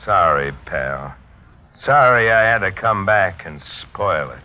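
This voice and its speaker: An actor playing a haunted, tough sailor in a 1940s radio mystery, hard-boiled and insistent. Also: male voice, low voice, expressive, slow pace. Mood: grim narration. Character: haunted tough sailor back from apparent death. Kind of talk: 1940s radio mystery acting, first-person noir. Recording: dull old radio recording, noisy.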